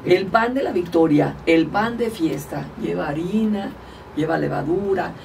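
A woman's voice: lowish voice, a little slow, vocal fry, darkish tone, distant mic, slight background noise. A woman from Mexico, a guest politician, chatting casually and animatedly in an interview, often laughing as she tells stories. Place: small studio, indoors. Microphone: table mic, far from speaker.

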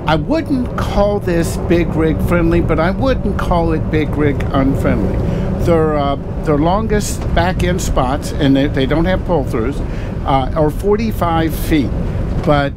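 A folksy older man talks through a trip from behind the wheel of a motorhome, his voice sitting a little high for a man. A loud engine and road rumble runs under everything he says. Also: slow pace. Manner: chatty, folksy, talks while driving. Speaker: host driving a motorhome. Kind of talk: casual travel vlog chat.

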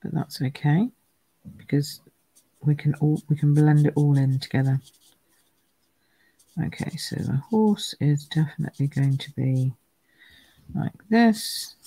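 A chatty woman demonstrates card making live, her croaky voice full of gravelly fry. She thinks out loud as she stamps and colours, recorded dry and close at her worktable. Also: low voice, measured pace, pauses often, no room sound, poor audio. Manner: chatty, thinks aloud, greets viewers by name. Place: home craft room.

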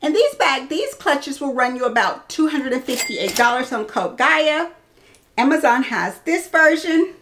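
A woman's voice: high voice, sing-song, unhurried, a bit bright, a little hiss or hum. A woman in her thirties presenting products in a casual, chatty way, addressing her viewers directly like a fashion vlogger. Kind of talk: casual product showcase to camera. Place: small indoor room lined with clothes and bag shelves.

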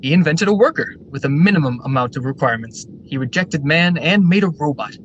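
An actor playing a brisk, eager factory general manager in a radio drama, formal and articulate. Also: male voice, dull audio. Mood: eager storytelling. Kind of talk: stage-style radio drama acting. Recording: noisy radio drama recording, steady rumble.

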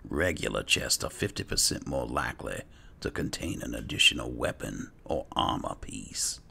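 A man's voice: rumbling low, animated, normal speed, gravelly, sharp tone, a little hiss or hum. A man narrating his roleplay gameplay, musing aloud in a hesitant mutter that often trails off. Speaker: gaming host roleplaying his character. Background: music.

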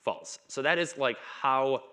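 A man's voice: medium pitch, slow, pushed and bright. A man in his thirties giving a conference talk on stage in a casual, chatty way, with stutters, repeats and blocks. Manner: casual chit-chat delivery. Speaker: conference speaker. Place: dark stage in a conference hall.

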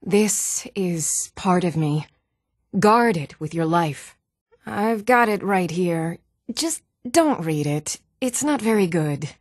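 A woman's voice: on the low side, expressive, slow pace, dry recording, studio quality. A woman voice-acting a spirited, blunt female bard in scripted video game dialogue. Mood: casual, friendly.

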